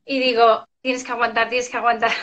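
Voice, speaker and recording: Spanish woman in her 40s on a webcam call, bright sharp voice, tells stories with lots of laughs. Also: on the high side, level tone, moderate pace, highs cut off. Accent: Spanish from Spain. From Castilla-La Mancha, Spain. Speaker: psychologist guest. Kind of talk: casual interview. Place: home room, indoors. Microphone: video call webcam.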